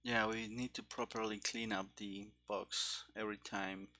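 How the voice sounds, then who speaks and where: A game developer thinking aloud while programming, speaking casually and haltingly, as if muttering to themself. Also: mid-range voice, level tone, sharp tone. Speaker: game developer streaming their coding. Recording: keyboard clicks and faint background noise. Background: typing and mouse clicks.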